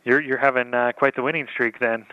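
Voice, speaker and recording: A man's voice: mid-range voice, fairly even intonation, a little fast, clear, resonant tone, dry recording. A man hosting a radio interview, friendly and encouraging, chuckling along with his guest. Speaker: radio host. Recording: dull dry radio audio.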